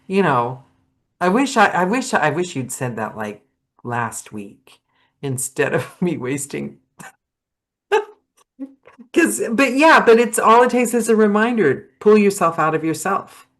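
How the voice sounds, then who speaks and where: A woman hosting a casual podcast chat, rambling and animated, laughing often. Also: fairly low pitch, slow pace, long pauses. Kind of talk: casual video-call chat.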